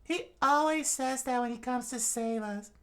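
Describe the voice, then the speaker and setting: A man voicing an adoring, swooning townswoman in a breathless high falsetto while reading a comic aloud. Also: moderate pace, clear, resonant tone.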